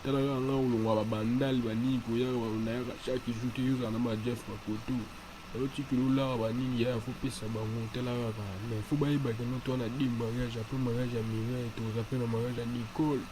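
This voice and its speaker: A man's voice: medium pitch, level tone, fairly quick, background noise, natural voice. A man with a Congolese accent playing a chatty, streetwise cartoon character. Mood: insistent. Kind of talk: animated cartoon dialogue in Lingala and French.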